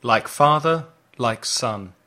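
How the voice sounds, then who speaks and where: A man hosts a language lesson at a markedly slow pace. His delivery is didactic and deliberately enunciated, with each phrase set out for learners to repeat. His voice sits at a medium pitch for a man. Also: expressive, bright, forceful tone. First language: English. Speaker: English teacher and podcast host.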